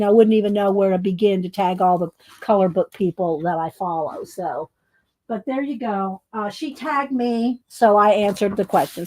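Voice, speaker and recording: A woman's voice: medium pitch, no echo, poor audio. A middle-aged woman, chatting while making art as host of her crafting channel, rambling, interrupting herself, and laughing often. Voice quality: loud voice. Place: home art studio.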